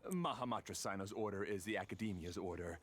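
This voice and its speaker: A man's voice: on the low side, quick pace, a bit bright, a little hiss or hum, natural voice. An actor playing a nervous, deferential male city guard in a video game, flustered and obsequious, eager to obey.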